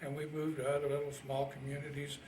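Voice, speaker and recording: An elderly American man with a Midwestern accent and a slightly high-set voice tells his life story in plain, halting, folksy speech. He sits away from the microphone in an echoey room, with faint noise around him. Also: level tone, darkish tone. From Indiana, United States. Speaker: Navy veteran being interviewed. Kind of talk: casual oral history recollection. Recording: roomy, distant mic, faint noise.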